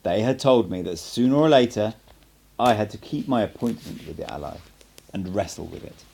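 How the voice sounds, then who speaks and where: A man narrates a book aloud in a fairly low voice. His intonation moves widely up and down, and he leans on words with dramatic emphasis. The reading is scripted and expressive, with an amateur storyteller's touch. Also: moderate pace, vocal fry, rough recording. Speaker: amateur audiobook reader.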